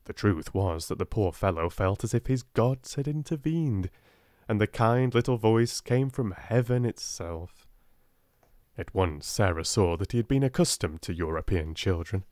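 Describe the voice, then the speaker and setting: A man with a British accent reading a storybook aloud in a soft-spoken, gentle, expressive way. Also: fairly low pitch, dry recording, studio quality. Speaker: audiobook narrator.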